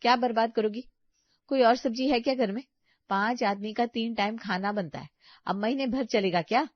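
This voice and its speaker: Exasperated thrifty housewife voiced by a woman, rising pitch. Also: normal speed, no room sound, muffled recording, close to an everyday voice. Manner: complaining, bargaining, emphatic. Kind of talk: animated comedy story dialogue.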